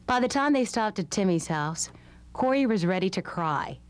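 A woman's voice: mid-range voice, expressive, moderate pace. A woman reading scripted narration for a children's storybook. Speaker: storybook reader.

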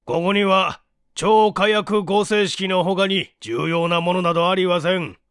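A man's voice: high voice, steady tone, unhurried, a bit bright, smooth, no room sound, studio quality. A man voicing an irascible, domineering old male scientist in a barking, imperious manner, for a story reading. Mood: stern.

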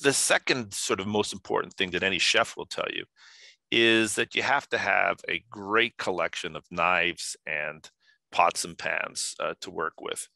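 An older man giving a conference talk over a video call, speaking haltingly with many filler sounds. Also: deep voice, vocal fry, pushed and bright, no echo. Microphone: video call webcam.

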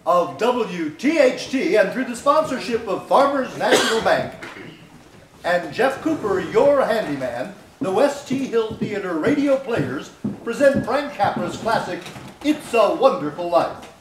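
A man's voice: sits high, very animated, measured pace, noisy background. Man as distant, echoey 1940s radio announcer. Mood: formal, presentational. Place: theater stage. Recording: echoey hall, far from camera.

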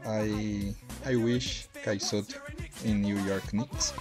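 Slow Brazilian man in halting English. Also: lowish voice, steady tone, music in the background. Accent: Brazilian Portuguese. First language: Portuguese. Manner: halting non-native English with many fillers and repeated words. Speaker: channel host. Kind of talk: casual vlog address to viewers. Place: small indoor home studio. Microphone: headset.

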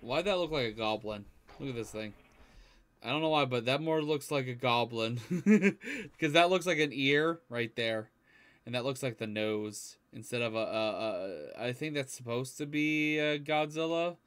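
A young man with a clean, smooth voice at an average pitch hosts a reaction video. He talks casually and informally to his viewers. He is recorded indoors at home. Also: moderate pace, fairly bright tone. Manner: informal, mild swearing. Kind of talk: casual live reaction commentary, some reading aloud. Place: small indoor room at home.

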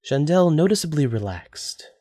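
A man's voice: fairly high pitch, big pitch swings, moderate pace, mellow, no room sound. Male story narrator, dry recording.

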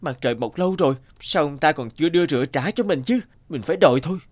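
A man voicing a hardworking, determined young peasant farmer in a cartoon, earnest and expressive. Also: fairly high pitch, fast, soft background music. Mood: pondering. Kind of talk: cartoon dialogue acting.

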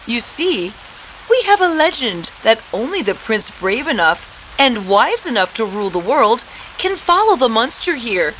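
A woman voicing a gentle, confident young princess in a fairy-tale cartoon, expressive and coaxing as she speaks. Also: fairly high pitch, measured pace, slight background noise, poor audio. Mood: pleased, explaining. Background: prominent background music.

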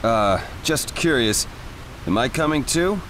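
A man's voice: mid-range voice, expressive, measured pace, fairly bright tone, slight background noise. A man voicing a mild, cautious adult ninja captain in an anime video game, with a hesitant, questioning manner. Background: faint game music.

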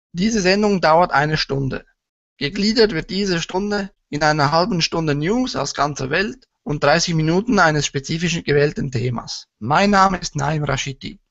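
Swiss-accented man with a gravelly voice. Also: fairly high pitch, a bit bright, no room sound. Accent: Swiss German. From Switzerland. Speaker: show host. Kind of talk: scripted intro, then casual chat. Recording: dull, lo-fi sound.

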